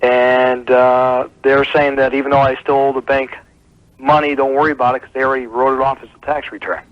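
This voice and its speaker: A man's voice: mid-range voice, little pitch movement, a little fast, no echo. A man from the United States calling in to a radio show, talking casually over the phone. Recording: dull lo-fi call on radio broadcast.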